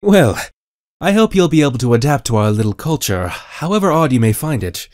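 A man playing a genial high school teacher in a visual novel, with a theatrical, formal manner. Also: sing-song, a little fast, a little mellow, no echo, clean studio sound, close to an everyday voice. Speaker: voice actor in fan dub. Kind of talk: visual novel character acting. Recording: music behind the voice.

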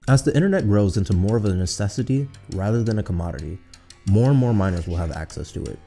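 A man's voice: on the low side, animated, fast, vocal fry. A man co-hosting a scripted video essay, speaking in an earnest, explanatory way. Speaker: co-host of a channel. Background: background music.

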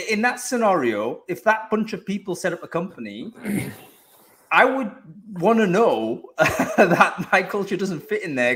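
A man's voice: a little high, a bit bright. A man in his forties hosting a video-call panel discussion, laughing easily and steering the talk with questions. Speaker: host. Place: home room, video call. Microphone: headset.

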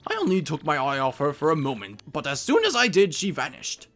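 A pompous, elderly Toad steward from a video game. He is genteel and fretful, huffing through old-gentleman phrases with wildly swinging pitch. Soft music runs underneath. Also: huge pitch swings, fairly quick, exaggerated voice. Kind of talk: video game dialogue voice acting.

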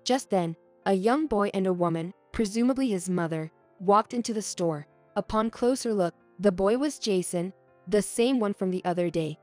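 A woman narrates a scripted, heartfelt story in a calm and even voice. The recording is dry and close, with no room sound at all. Her pitch sits in a middle range. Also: normal speed, dry recording, soft background music.

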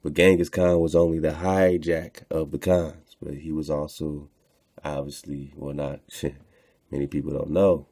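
Male online host, deep gravelly register, slangy, repetitive, unscripted casual commentary. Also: flat delivery, a little slow, dark tone. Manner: rambling, repeats phrases, slangy "man" and "you know". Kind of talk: casual unscripted commentary while browsing on screen.

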